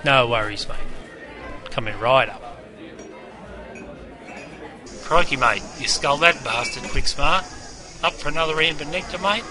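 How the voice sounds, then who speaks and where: A man's voice: mid-range voice, unhurried, pauses often, close to an everyday voice. A man playing a cheeky, matey barman in an interactive game, with a broad Australian accent and heavy slang. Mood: cheeky, welcoming. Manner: jokey, teasing, heavy slang. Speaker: bartender guide. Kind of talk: interactive game character patter. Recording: busy crowd noise behind. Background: crowd chatter.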